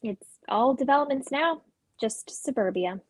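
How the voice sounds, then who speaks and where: A woman's voice: high voice, lively intonation, a little slow. A woman playing a weary, wry, guarded young suburban woman in a dramatic script reading, speaking in a dry, understated way. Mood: flat, resigned.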